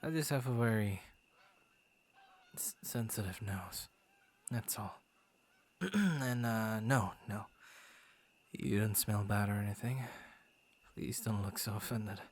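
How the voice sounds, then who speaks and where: A man's voice: lowish voice, slow, pauses often, a little hiss or hum. A man voicing a friendly, bashful werewolf who guards his forest, stammering and playfully theatrical, in an ASMR boyfriend roleplay. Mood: sheepish, apologetic.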